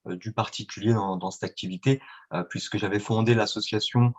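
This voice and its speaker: A man's voice: on the low side, fairly even intonation, brisk, husky. A man answering interview questions as a guest, speaking with many fillers and restarts. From France and Italy. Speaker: guest, independent trader. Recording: dull lo-fi sound.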